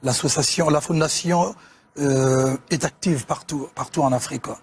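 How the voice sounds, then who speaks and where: A man from Congo, speaking as a guest musician on a TV sports show, measured and often hesitating. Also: on the high side, slight background noise. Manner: measured, with frequent hesitations and restarts. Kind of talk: casual TV interview talk.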